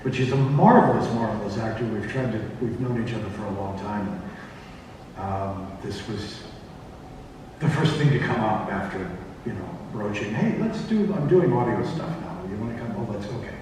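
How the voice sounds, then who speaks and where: A man speaking informally as a panelist, halting and digressive, recorded in a reverberant room. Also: lowish voice, lively intonation, darkish tone. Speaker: convention panelist, director and actor. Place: comic convention panel room. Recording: echoey hall, distant mic, noisy.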